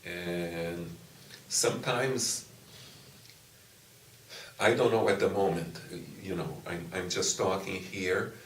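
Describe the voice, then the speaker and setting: A middle-aged professor from Brazil whose first language is Portuguese talks slowly in a very low voice. He answers thoughtfully, with many pauses and restarts. The recording is roomy and taken from some distance, with faint ambience behind. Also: steady tone, a little hiss or hum. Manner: slow, thoughtful, with frequent "you know" and restarts. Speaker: university professor. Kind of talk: interview answers. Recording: echoey room, distant mic, faint ambience.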